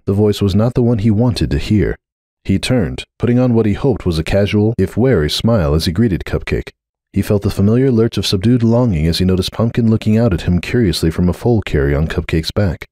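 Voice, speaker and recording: A man's voice: on the low side, darkish tone, no echo, clean studio sound. A man giving a dramatic scripted narration of a story.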